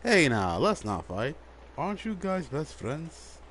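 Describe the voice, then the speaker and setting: A man's voice: on the high side, very animated, unhurried, background noise. A man streaming a video game, offhandly commenting and muttering to himself as he plays. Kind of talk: casual commentary over gameplay. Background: loud game audio.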